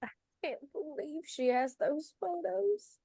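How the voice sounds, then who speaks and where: A woman's voice: high voice, lively intonation, slow pace, vocal fry, mellow, no echo, full character voice. A woman acting a guilty, anxious young beauty queen, flustered and pleading, in a table read.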